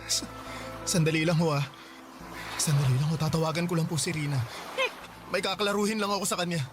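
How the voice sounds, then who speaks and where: A man's voice: on the high side, steady tone, fast talker, music in the background, lightly acted. A Filipino man acting in a radio drama as a polite, naive young husband, anxious and stammering. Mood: nervous, pleading. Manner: hesitant, stammering, respectful.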